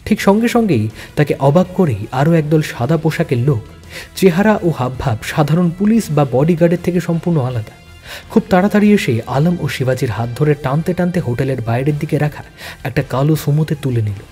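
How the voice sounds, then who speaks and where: A man giving a dramatic scripted narration of a suspense story, with deliberate phrasing. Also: on the high side, lively intonation, mellow. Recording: long reverb, background music.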